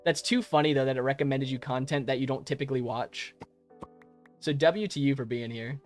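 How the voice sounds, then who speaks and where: A young man chats easily with his stream viewers, laughing often and restarting sentences as he goes. His pitch sits in an everyday middle range, and the modest home mic carries some faint music in the background. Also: steady tone, brisk. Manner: friendly, rambling, laughs often, many fillers and restarts. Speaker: live streamer answering chat. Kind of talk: casual live-stream chat while gaming. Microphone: modest home microphone.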